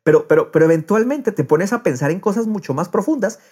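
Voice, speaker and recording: A man with a fairly high, husky voice chats casually on a podcast. His intonation swings widely, and he repeats words eagerly as he works through his thoughts out loud. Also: expressive, fast. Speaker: podcast host.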